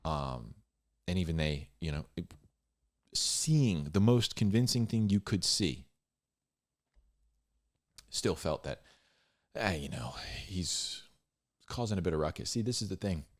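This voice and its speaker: A man giving informal, off-the-cuff Bible commentary in a conversational, halting way, repeating phrases for emphasis. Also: low-pitched, lively intonation. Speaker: Bible storyteller and commentator, host.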